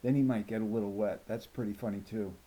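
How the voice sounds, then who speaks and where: Man reading as a cocky, mellow-voiced mischievous schoolboy. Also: on the low side, fairly even intonation, quick pace, natural voice, poor audio. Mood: cocky, amused. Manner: boastful, matter-of-fact, jokey. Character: cocky, mischievous fifth-grade boy telling his story. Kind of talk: first-person children's novel narration.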